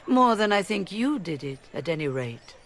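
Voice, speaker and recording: Casting: a composed, diplomatic mage leader, measured delivery, faint ambience behind. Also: female voice, lowish voice, very animated, a little hiss or hum. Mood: calm, persuasive. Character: poised mature female mage leader.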